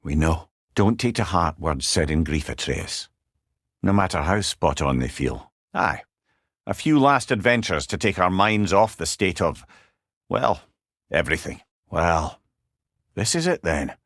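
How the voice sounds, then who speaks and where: A man playing a wise, witty older companion in a video game, with a Scottish accent and a wry, avuncular, expressive manner. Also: low-pitched, a little slow, no room sound, clean studio sound, heavily acted. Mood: somber. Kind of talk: video game character dialogue.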